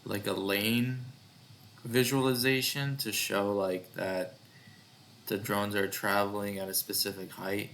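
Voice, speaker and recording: Slow-paced man with bright, forceful voice. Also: lowish voice, level tone, a little hiss or hum. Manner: hesitant, thinking aloud. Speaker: developer presenting own project. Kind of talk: casual screen-recorded demo narration.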